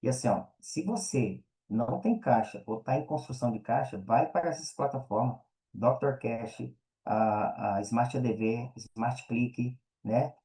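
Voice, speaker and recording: A man's voice: mid-range voice, measured pace, warm tone, low-quality recording. A Brazilian man chatting casually as an interview guest, explaining things in slangy, informal speech and often checking that he is understood. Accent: Brazilian Portuguese. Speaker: podcast guest.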